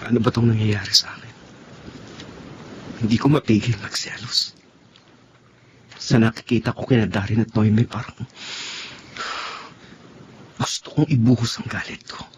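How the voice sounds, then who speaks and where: An actor playing a brooding young boyfriend in a Tagalog radio drama, earnest and a little hesitant. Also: male voice, lowish voice, a little mellow, slight background noise, close to an everyday voice. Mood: jealous anguish.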